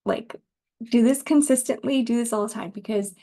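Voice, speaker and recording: Casting: woman podcaster, thirties, light higher voice with a soft, relaxed tone, informal and rambling. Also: moderate pace. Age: 30s. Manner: informal, rambling, laughs easily, occasional swearing. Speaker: podcast host. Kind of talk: casual solo podcast chat.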